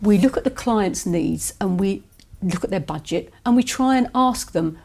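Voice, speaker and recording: Measured businesslike woman. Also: medium pitch, expressive, gravelly, darkish tone, slightly rough. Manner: measured, businesslike, with filler hesitations. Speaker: property agency owner. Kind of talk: interview answers.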